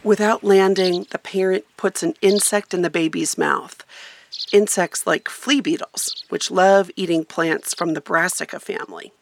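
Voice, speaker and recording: A woman narrates a radio feature as its reporter, reading from a script. Her voice sits fairly low and has a marked creak at the edges. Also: fairly even intonation, unhurried.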